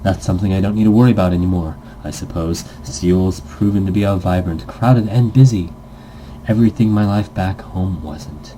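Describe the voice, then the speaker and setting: Dark-voiced low man reading aloud. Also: moderate pace, slight background noise, rough recording. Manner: stumbles over words, corrects himself, jokey asides. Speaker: let's player reading a visual novel aloud. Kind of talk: casual read-aloud narration of a game script.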